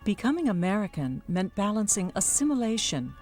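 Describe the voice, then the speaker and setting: A woman's voice: mid-range voice, sing-song, a little fast, darkish tone, soft background music. A woman reading scripted documentary narration.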